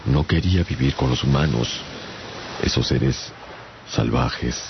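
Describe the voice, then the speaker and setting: Very deep, gravelly, haunted castaway. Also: male voice, takes it slowly, background noise, full character voice. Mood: sorrowful, grave. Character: weary haunted castaway traveller, estranged from humankind. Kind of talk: Spanish-language radio drama, first-person narration and dialogue. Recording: dull lo-fi radio production. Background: dramatic music.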